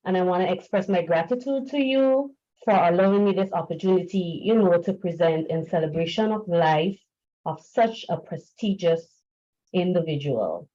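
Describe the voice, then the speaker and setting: Earnest woman with a mellow voice. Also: lowish voice, lively intonation. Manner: earnest, measured, formal. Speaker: speaker at a memorial seminar. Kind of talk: prepared, formal eulogy. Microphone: video call. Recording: muffled video call audio.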